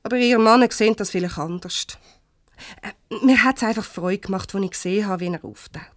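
A woman playing a warm-hearted, defensive divorcee in a Swiss German radio comedy, conversational and a little hesitant. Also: mid-range voice, a little fast, no room sound, clean studio sound, close to an everyday voice. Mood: indignant, evasive. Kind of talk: naturalistic 1970s radio comedy acting.

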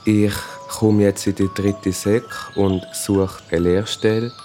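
A man's voice: lowish voice, little pitch movement, slow, warm tone, soft background music. A fifteen-year-old boy with a Swiss German accent, introducing himself casually. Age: 15, teenager.

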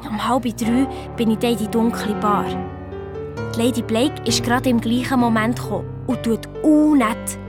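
A woman playing an anxious, excitable young girl detective with a Swiss German accent, telling her adventure in a children's radio play. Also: on the high side, moderate pace, natural voice. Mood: anxious, excited. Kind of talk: first-person children's radio play narration. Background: music.

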